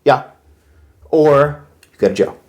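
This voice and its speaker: Higher-voiced American man, halting casual explainer. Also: darkish tone. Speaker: podcast co-host. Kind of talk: casual chat.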